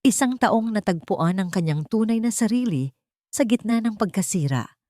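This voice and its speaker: A woman reading a sentimental story as a scripted narrator, in a measured, earnest storytelling manner. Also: medium pitch, animated, mellow, dry recording, clean studio sound.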